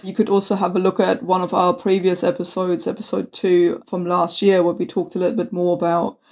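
A woman's voice: on the low side, monotone, a little fast, darkish tone. A woman co-hosting a conversational history podcast, chatty, with many restarts and fillers. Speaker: podcast co-host.

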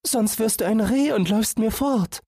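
A man's voice: sits high, normal speed, dark tone, husky, dry recording, clean studio sound, voice pushed up. A man voicing an anxious, devoted little girl in a fairy tale, speaking in a pleading, tender manner.

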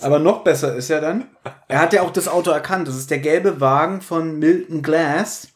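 A man's voice: on the high side, moderate pace. A man hosting a podcast in casual chat, retelling and critiquing as he goes in a bantering, teasing way. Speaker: podcast host.

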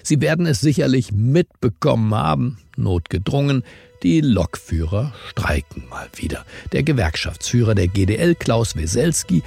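A man hosting a news briefing, presenting scripted items and questions in a pointed, deliberate way with dramatic pauses. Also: mid-range voice, mellow. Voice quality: gravelly, husky. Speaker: host of a news briefing podcast. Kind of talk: scripted news narration and interview.

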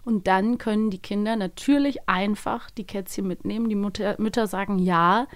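A woman's voice: medium pitch, moderate pace, a little hiss or hum. A woman from Cologne, Germany, co-hosting a podcast in a playful, casual chat. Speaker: podcast co-host.

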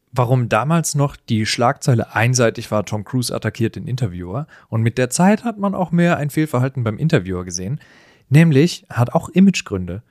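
A man's voice: medium pitch, darkish tone, no echo, clean studio sound. A man in a conversational podcast discussion, explaining things with emphatic phrasing. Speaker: podcast co-host.

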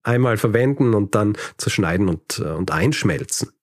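A man's voice: fairly low pitch, lively intonation, normal speed, no room sound. A man hosting a podcast, telling history in a conversational, explanatory way with light dry humour. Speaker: podcast host.

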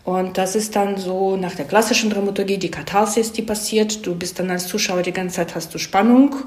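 A woman's voice: medium pitch, fairly even intonation, fairly quick, a little mellow. A woman explaining her craft conversationally as an interviewed screenwriter, feeling for phrases and often ending on tag questions. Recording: roomy, echoey sound.

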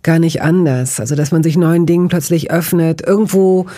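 A woman's voice: deep voice, moderate pace, warm tone, dry recording, clean studio sound. A woman hosting a podcast interview, talking in a thoughtful, reflective way and speeding up in bursts. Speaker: podcast host.

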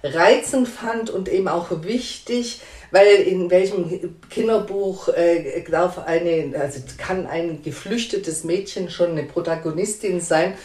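A woman's voice: fairly low pitch, slow pace, a little mellow, reverberant. A woman publisher answering interview questions in a hesitant, conversational way with frequent fillers and restarts.

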